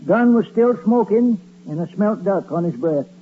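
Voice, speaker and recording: An actor playing a dour, terse rustic farmer, deadpan, in 1940s radio comedy style. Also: male voice, sits high, normal speed. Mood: deadpan, dry. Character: dour rustic New England farmer, dry and gloomy. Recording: tinny, noisy old radio broadcast.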